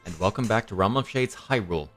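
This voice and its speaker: A man's voice: fairly low pitch, lively intonation, quick pace. A young man with a slightly nasal voice, recovering from illness, hosting and recapping a tabletop game as dungeon master with many ums and uhs. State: recovering from illness and tooth surgery. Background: soft background music.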